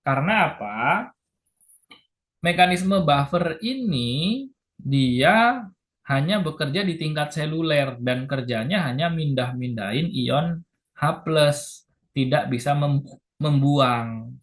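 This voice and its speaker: A man gives a lecture at an unhurried, deliberate pace. His voice sits fairly high for a man. He has a sore throat and repeats his key points patiently. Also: expressive. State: sore throat, clears throat and hiccups. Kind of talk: lecture to health workers.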